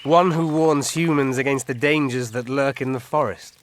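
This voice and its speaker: A mid-pitched male actor as an old elf of the woods in a fantasy game. He sounds world-weary, cryptic and musing, with a slightly drunken drawl. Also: moderate pace, close to an everyday voice. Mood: aloof, matter-of-fact. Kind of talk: fantasy video game dialogue.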